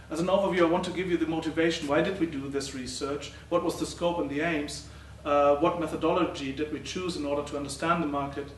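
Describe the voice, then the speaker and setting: A middle-aged man giving a conference talk as a professor presenting research, speaking haltingly with many uh and um fillers. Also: a little high. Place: lecture hall. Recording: roomy, distant, faint noise.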